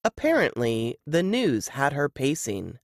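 Guy reading a thriller audiobook, unhurried, very varied intonation. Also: mid-range voice, huge pitch swings, no echo, studio quality. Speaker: audiobook narrator. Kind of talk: scripted suspense fiction narration.

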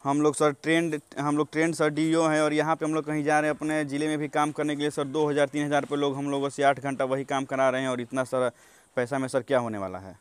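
A man's voice: a little high, flat delivery, fast, smooth, no echo. A young man with a Bihari accent making a deferential phone inquiry, polite, insistent and repeatedly addressing the listener as sir. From Bihar, India. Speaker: job candidate calling an official.

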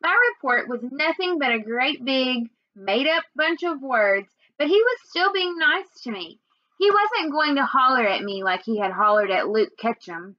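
High-pitched young woman reading aloud. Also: no echo, dull audio. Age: young adult. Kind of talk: read-aloud of a children's novel. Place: small indoor room at home.

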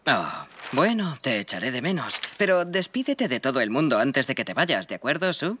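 A man voicing a calm, wry zebra father in a cartoon dub. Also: medium pitch, normal speed, slight background noise. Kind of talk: Spanish-dubbed preschool cartoon acting.

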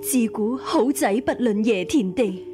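Devoted young maidservant, high voice, Hong Kong Cantonese, radio drama, music behind. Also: female voice, expressive, unhurried. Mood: tearful, resolute.

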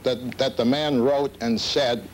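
Formal, halting older man, faintly noisy tape. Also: medium pitch, fairly even intonation. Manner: deliberate, halting, formal wording. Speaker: labor lawyer and collector being interviewed. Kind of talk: formal interview talk. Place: book-lined office, indoors. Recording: slightly noisy old videotape.

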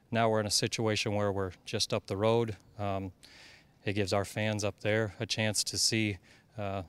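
A man's voice: fairly low pitch, fairly bright tone, clear, resonant tone, no room sound. A man in his thirties from Iowa, a basketball head coach answering interview questions earnestly, with many fillers and restarts, into a handheld mic at an indoor media backdrop. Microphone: handheld mic held by interviewer.

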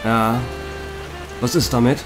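An actor playing a grumpy, tired police detective in a German audio drama, with a sardonic, grumbling manner. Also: male voice, medium pitch, steady tone, slow pace, lightly acted. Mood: tired, reluctant. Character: weary, grumpy male police detective, easily exasperated. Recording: rain and music behind.

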